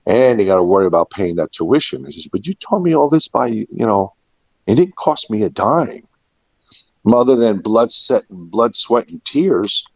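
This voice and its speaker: A man's voice: on the low side, very animated, moderate pace, gravelly, husky. A middle-aged man telling personal stories in a casual interview, reflective and anecdotal, often quoting past conversations. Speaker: restaurant and catering veteran being interviewed. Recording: muffled, echoey room recording.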